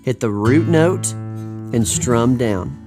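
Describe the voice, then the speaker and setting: A man's voice: medium pitch, animated, extremely slow. An American man teaching a live guitar lesson, talking in an upbeat, chatty way that wanders and restarts.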